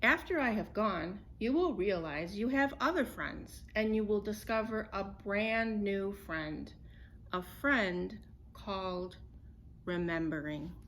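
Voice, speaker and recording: A woman voicing a male snow bear in a storybook, a tender, loyal friend speaking softly and patiently. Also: mid-range voice, slow pace, slight background noise. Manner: soft, patient, reassuring.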